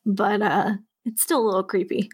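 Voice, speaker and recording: A woman's voice: mid-range voice, fairly even intonation, normal speed, a little mellow, husky, no echo, studio quality. A woman co-hosting a podcast, tossing in wry asides about a fantasy book and laughing along. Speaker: podcast co-host. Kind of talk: casual book discussion.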